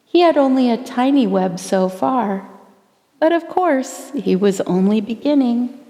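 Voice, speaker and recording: A woman with a fairly high voice reads a rhyming storybook to children, taking her time over each phrase. Her delivery is theatrical, with deliberate emphasis on key words. A long reverb trails behind her voice. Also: expressive, dark tone. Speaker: pastor reading a children's story aloud. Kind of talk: expressive storybook read-aloud in rhyming verse.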